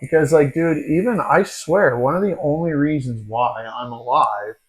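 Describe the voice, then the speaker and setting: A man's voice: a little high, normal speed, rough recording. A man in his forties, hosting a podcast, telling stories in casual chat with lots of swearing and "dude". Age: 40s. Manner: swearing, animated storytelling, says dude a lot. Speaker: podcast host.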